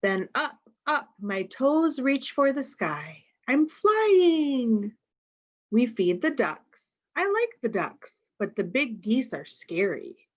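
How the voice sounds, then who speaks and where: A woman reads picture books to little children at an unhurried pace. She speaks straight to her young listeners with simple questions. The audio is dull and slightly muffled. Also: fairly high pitch, big pitch swings. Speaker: storytime reader.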